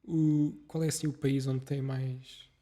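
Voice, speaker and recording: A man with a Portuguese accent hosting an interview, asking questions in a quick, chatty way with frequent restarts. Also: medium pitch, little pitch movement, warm tone. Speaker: podcast host, interviewer. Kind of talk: casual interview chat.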